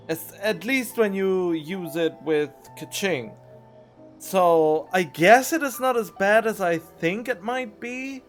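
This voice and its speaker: Male streamer, slow chatty commentary, thinks aloud, desk mic, faint game music. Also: high voice. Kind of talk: casual let's-play commentary. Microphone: desktop USB mic.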